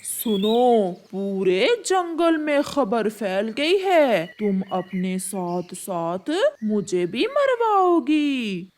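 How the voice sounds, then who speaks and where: A woman's voice: a little high, sing-song, drawn-out words, few pauses, a little mellow, slight background noise, heavily acted. A woman voicing a cautious male crow husband who admonishes in a worried way in a children's cartoon. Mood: alarmed.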